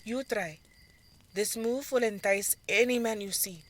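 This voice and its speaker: A woman's voice: mid-range voice, measured pace, natural voice. A woman voicing a fiery, bossy elder sister who dances, with a commanding, insistent delivery in an audio drama. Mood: stern, instructing.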